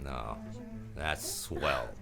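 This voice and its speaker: An actor playing a world-weary, laconic private detective in 1940s radio noir style. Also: male voice, rumbling low, extremely slow, gravelly, pushed and bright, full character voice. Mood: low-key, guarded. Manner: laconic, clipped, understated. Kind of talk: 1940s radio noir acting, first-person narration. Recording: radio play with guitar music behind.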